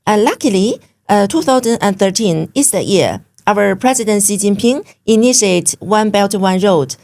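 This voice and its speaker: A woman from China speaking English with a Chinese accent as an interview guest, pausing often with fillers. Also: medium pitch, animated, a little mellow. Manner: hesitant, many fillers, simple English. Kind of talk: interview conversation.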